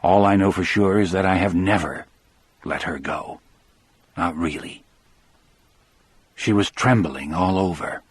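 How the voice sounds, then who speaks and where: A man narrating a dramatic first-person audiobook as a grief-stricken elderly former prison guard, in a measured, somber way. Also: sits low, husky, no room sound. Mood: somber, haunted.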